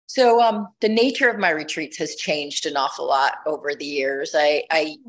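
A middle-aged woman with a lowish voice tells her story at an easy conversational pace. She is reflective and restarts her phrases while she gathers her thoughts. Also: normal speed, poor audio. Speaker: podcast guest, retreat leader.

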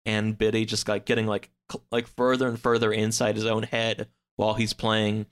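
A man in a casual podcast chat, recapping a story in a halting, rambling way full of filler words. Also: fairly low pitch, monotone, fairly bright tone, clean tone. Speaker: podcast guest.

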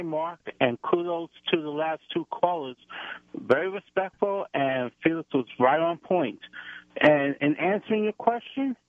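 A man's voice: on the high side, gravelly, no echo. A man from New York City, a regular caller to a radio show, upbeat and talkative. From New York City, USA. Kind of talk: call-in radio conversation. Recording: tinny phone line.

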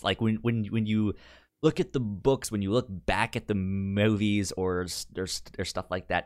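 Low-voiced man with a pronounced stutter. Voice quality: pronounced stutter, repeating sounds and syllables. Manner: halting, hesitant delivery with frequent restarts. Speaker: podcast co-host. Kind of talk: casual film discussion.

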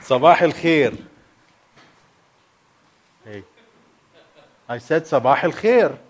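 A man from Egypt, a native Arabic speaker with an Egyptian accent, preaching as a guest speaker in an energetic, playful way with bursts of emphasis. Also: a little high, takes it slowly, slight background noise, poor audio. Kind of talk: sermon.

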